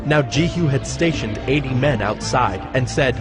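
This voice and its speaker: A man narrates an ancient scripture in a measured, solemn way. His voice sits at a middle pitch, with music clearly playing behind it. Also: expressive. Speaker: narrator of a dramatized audio Bible. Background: background music.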